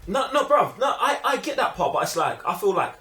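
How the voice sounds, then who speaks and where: A man's voice: sits high, sing-song, brisk, pushed and bright. A man with a London accent in a casual film discussion, talking emphatically in heavy slang and repeating himself to press his points. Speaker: film review show host. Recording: roomy, echoing room sound.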